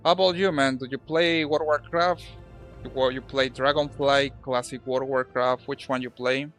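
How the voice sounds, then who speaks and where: A Colombian man whose first language is Spanish, streaming a game and chatting casually, halting and restarting often. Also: mid-range voice, fairly even intonation, a bit bright, soft background music. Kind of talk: casual gameplay commentary.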